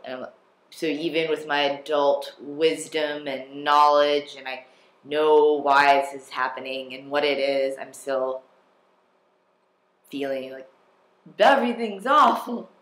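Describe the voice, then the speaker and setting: A man's voice: medium pitch, fairly bright tone, roomy sound. A young man talking casually in a personal vlog, rambling and self-deprecating, with many fillers and restarts. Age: young adult. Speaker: vlogger.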